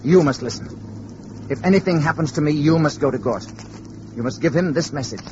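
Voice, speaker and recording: An actor playing a grave, serious alien visitor in human guise in a 1950s radio drama, speaking deliberately. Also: male voice, fairly high pitch, a little mellow, lightly acted. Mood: urgent, solemn. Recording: noisy, dull old radio recording.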